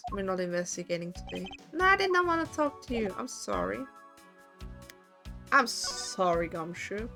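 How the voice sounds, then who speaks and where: A woman streamer chatting casually with her viewers, her voice worn hoarse from talking too much, rambling and self-deprecating. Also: medium pitch, big pitch swings, normal speed, pushed and bright, soft background music.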